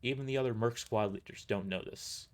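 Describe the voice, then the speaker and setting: A man's voice: medium pitch, fairly quick, close to an everyday voice. A man playing a weary mercenary boss who gives grave, matter-of-fact briefings in an audio drama. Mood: grave, explanatory.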